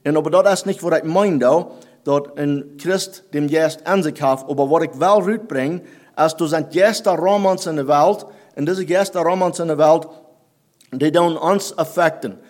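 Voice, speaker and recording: A man whose first language is Plattdeutsch, preaching a sermon in halting German that he mixes with English phrases. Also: a little high. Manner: halting, searching for German words, mixing in English.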